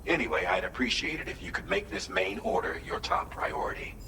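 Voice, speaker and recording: Low, echoing voice of a formal mission coordinator. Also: male voice, very animated, background noise. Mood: measured, businesslike. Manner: formal, measured, precise instructions. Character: calm, methodical male mission coordinator briefing an agent. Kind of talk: scripted game mission briefing.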